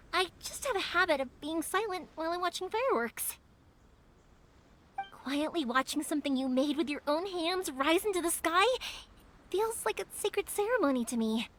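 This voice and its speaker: A woman voicing a cheerful, earnest young female fireworks maker in a video game, talking warmly and thoughtfully. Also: very high voice, expressive, moderate pace, a little hiss or hum. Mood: reflective. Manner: warm, earnest, reflective with soft laughs. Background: game music.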